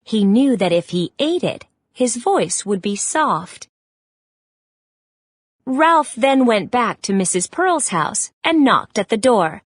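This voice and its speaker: A woman narrating a children's read-along story in a measured, expressive way. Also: fairly high pitch, husky, dry recording, studio quality.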